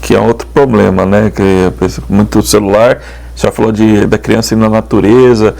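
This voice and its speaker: A man hosting a news podcast, chatty and lively, closing with a sing-song sign-off. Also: lowish voice, fairly quick, gravelly. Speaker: journalist and podcast host. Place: podcast studio.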